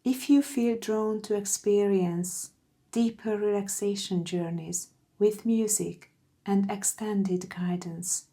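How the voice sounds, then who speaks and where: A woman guiding a meditation in a soft, soothing, gentle voice with breathy phrase endings. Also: medium pitch, steady tone. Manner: soothing, gentle, unhurried with long pauses. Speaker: meditation guide. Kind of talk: guided meditation narration.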